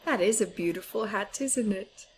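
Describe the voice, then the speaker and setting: A woman's voice: mid-range voice, moderate pace, a little hiss or hum. A woman reading a picture book aloud expressively for young children, putting questions to them and often dropping toward a whisper. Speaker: children's storyteller.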